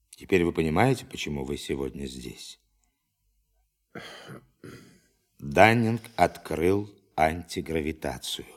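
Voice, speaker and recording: An actor playing an authoritative official giving a formal, emphatic briefing to scientists in a radio play. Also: male voice, a bass voice, heavily acted. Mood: grave. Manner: formal, emphatic, commanding, dramatic pauses. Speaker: presenter of a demonstration.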